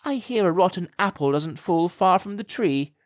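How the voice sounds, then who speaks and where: A man's voice: lively intonation, no echo, studio quality. A man voicing a haughty, cruel rich lady who sneers and mocks. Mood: mocking. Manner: high, drawn-out, mocking.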